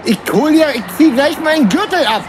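Rambling, high-voiced actor as a grumbling man. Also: expressive, a little fast, some chatter behind, slight background noise. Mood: indignant. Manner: rambling, repetitive, stammering when worked up. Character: bickering indignant man out drinking with friends. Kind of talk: comedic radio play dialogue, colloquial.

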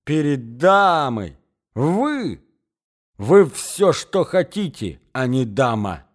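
A man voicing an indignant, wounded young gentleman in a dramatic reading of a story, with exclamatory, emphatic speech. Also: mid-range voice, animated, moderate pace, close to an everyday voice. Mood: enraged, scornful. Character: enraged, wounded young gentleman, rejected suitor.

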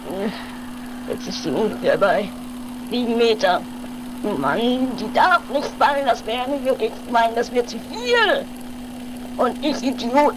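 An amateur radio-play performer voicing an anxious, self-reproaching mountaineer who mutters haltingly and repeats words. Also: mid-range voice. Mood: panicked, self-reproaching. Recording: noisy homemade 1980s radio play recording.

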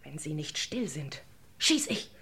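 A woman's voice: low voice, sing-song, unhurried, bright, forceful tone, slight background noise, full character voice. A woman acting a bitter, commanding housekeeper with a murderous secret in a radio crime drama, speaking in clipped, dramatic phrases. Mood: commanding, threatening.

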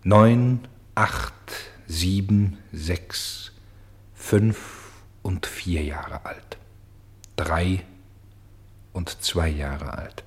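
An actor playing a grave, sorrowful storyteller in a radio play, with solemn and deliberate phrasing. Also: male voice, low-pitched, darkish tone. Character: grave, sorrowful male storyteller bearing witness to tragedy.

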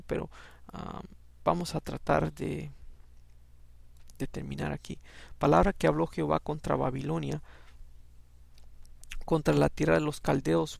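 A man hosting an informal Bible podcast, talking in a halting, conversational way with many restarts and then reading scripture aloud. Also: fairly high pitch, gravelly, dry recording. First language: Spanish.

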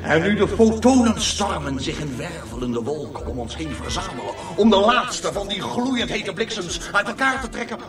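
A flamboyant male compère, swooping up and down in a fairly high voice, drawing his words out with gushing showman relish. It is radio comedy, heard from a distance in a roomy space with music behind. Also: big pitch swings. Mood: grandly ominous. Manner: theatrical, gushing showman patter with drawn-out words. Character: flamboyant male compère of an end-of-universe restaurant show. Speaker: master of ceremonies. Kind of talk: radio comedy drama acting.

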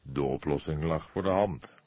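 A man voicing a calm, measured, wry old police inspector in an audiobook. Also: a bass voice, no room sound. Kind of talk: audiobook character dialogue.

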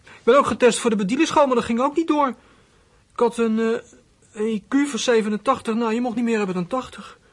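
A performer playing a small troubled runaway boy in a radio drama, husky and hesitant, speaking in plain colloquial phrases. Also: mid-range voice, fast talker, close to an everyday voice. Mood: sulky chatty. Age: child character. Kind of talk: radio drama acting with whispered inner monologue.

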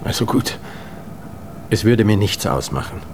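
A man's voice: fairly low pitch, expressive, measured pace, darkish tone, slight background noise. An actor playing a wary, sceptical ageing man in a radio drama, with a terse, dry delivery. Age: middle-aged or older. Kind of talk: German radio drama acting.

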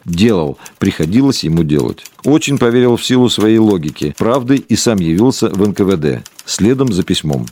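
A man reading documentary passages in a radio drama in a measured, matter-of-fact way. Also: lowish voice, a little mellow. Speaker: narrator.

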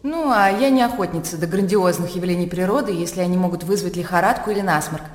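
A woman acting a poised, superior gentlewoman in a radio drama, ironic, drawling and self-assured. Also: fairly low pitch, quick pace, close to an everyday voice. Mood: self-assured, dry. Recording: echoey reverberant studio sound.

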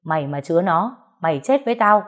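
Woman reads a furious father, loud and bitter, storybook drama. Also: lowish voice, brisk, close to an everyday voice. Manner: shouting, furious. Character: enraged grieving father, middle-aged man.

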